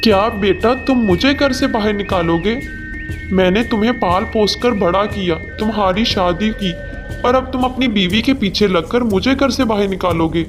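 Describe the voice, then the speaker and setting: A man's voice: high-pitched, normal speed, lightly acted. A man voicing a stern, self-serving father bird in a children's cartoon, speaking in a plain declamatory way. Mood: hurt, regretful. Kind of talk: Hindi children's cartoon dubbing. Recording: long hall reverb, music underneath.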